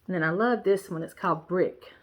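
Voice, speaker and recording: A woman's voice: on the low side, fairly quick. Congested woman chatting. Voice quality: nasal. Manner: rambling, warm, many fillers and restarts. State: stuffy, congested nose. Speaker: cross-stitch vlogger. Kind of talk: casual show-and-tell chat.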